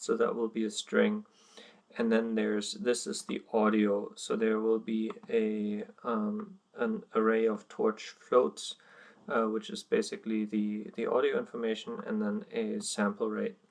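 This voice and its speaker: Low, flat, gravelly man explaining code. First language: German. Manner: hesitant, many ums and uhs, plain explaining. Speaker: coding tutorial presenter. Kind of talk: screen-recorded coding walkthrough.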